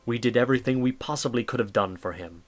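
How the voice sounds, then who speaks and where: A man's voice: medium pitch, quick pace, lightly acted. A man voicing a firm, apologetic hospital doctor in an audio drama. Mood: firm regret.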